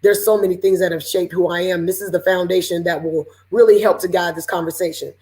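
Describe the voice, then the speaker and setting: Raspy-voiced woman hosting a video panel. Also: on the low side, fast. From Seattle, Washington. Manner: relaxed, chatty, lots of fillers. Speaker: panel host. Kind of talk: casual chat on a video call. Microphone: video call.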